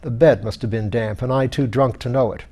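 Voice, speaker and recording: A man voicing a pompous, irritable, hard-drinking skeleton lord in an audiobook, rough and blustering in old-fashioned aristocratic speech. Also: medium pitch, steady tone, a little fast, mellow, natural voice. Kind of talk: audiobook character voice.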